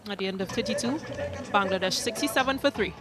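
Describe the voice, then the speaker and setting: A woman's voice: medium pitch, music in the background. Matter-of-fact female cricket commentator. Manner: brisk, matter-of-fact, gives scores. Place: cricket ground commentary position.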